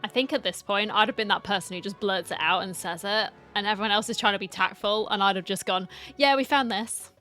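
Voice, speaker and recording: A woman comments on a game as she plays, in casual, informal speech with a wry streak. The voice sits at a medium pitch, and game music is faint behind it. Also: fairly quick, few pauses, bright, forceful tone. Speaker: gaming content creator. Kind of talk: casual reaction commentary over gameplay.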